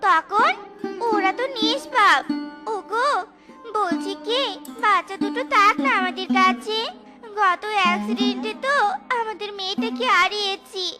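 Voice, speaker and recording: A woman's voice: very high-pitched, measured pace, loud music behind the voice. A woman voicing a poor, tender but resolute mother fox in a children's cartoon, pleading and folksy.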